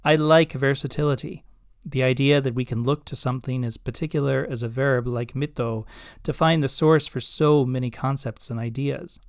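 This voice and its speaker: A man hosting a podcast, reading his own written essay aloud in a measured, scholarly way with careful enunciation. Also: medium pitch, steady tone, dry recording, studio quality. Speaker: podcast host.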